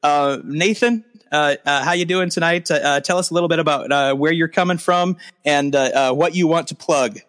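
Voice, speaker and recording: A man's voice: fairly high pitch, normal speed, hardly any pauses, a bit bright. Rambling self-deprecating male podcast host. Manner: rambling, self-deprecating, many fillers and restarts. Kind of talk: casual podcast chat.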